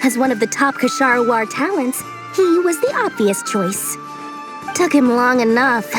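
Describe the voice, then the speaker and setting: A woman's voice: high-pitched, expressive, moderate pace. A woman voicing a small, shrewd, money-loving female merchant in a video game, with a cheeky, theatrical, sing-song delivery. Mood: explaining. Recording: game music behind voice.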